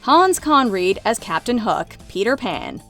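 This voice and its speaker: High-voiced woman narrating a countdown. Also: very animated, a little slow, fairly bright tone. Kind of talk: scripted video narration. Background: light background music.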